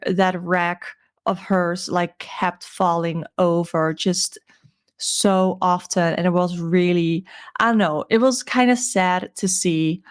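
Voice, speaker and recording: Croaky-voiced woman chatting casually. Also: on the low side. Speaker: crochet seller and video host. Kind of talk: casual chatty vlog recap.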